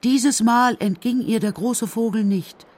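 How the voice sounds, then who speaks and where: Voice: medium pitch, measured pace, a little mellow, slightly rough. A narrator telling a children's story in a scripted radio drama style.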